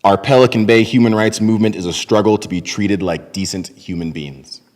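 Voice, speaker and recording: A man's voice: lowish voice, brisk, darkish tone, close to an everyday voice. A man in his thirties or forties playing a grave prisoner on hunger strike, reading a script in readers theater. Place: large temple hall, at a lectern. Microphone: microphone on a stand at lectern. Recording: reverberant hall recording.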